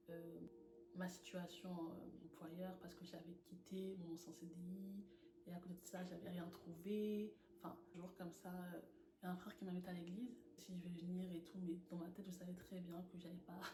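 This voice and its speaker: Young woman from france telling her faith story, earnest, laughs a lot, low-ish voice, echoey room. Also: background noise. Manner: earnest and animated, laughing often. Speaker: testimony speaker. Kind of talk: personal faith testimony.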